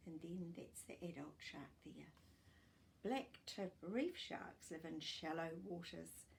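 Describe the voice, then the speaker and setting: A middle-aged woman reading aloud to young children as a storytime host, expressive and explanatory, with small asides. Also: on the low side, vocal fry, slight background noise. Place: living room at home.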